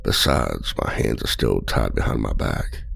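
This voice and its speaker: A man's voice: a bass voice, unhurried, creaky, fairly bright tone, slight background noise, full character voice. An actor playing a charming, manipulative male outlaw and escape artist, with a flirty, teasing and smugly condescending manner in an ASMR roleplay. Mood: sweetly pleading.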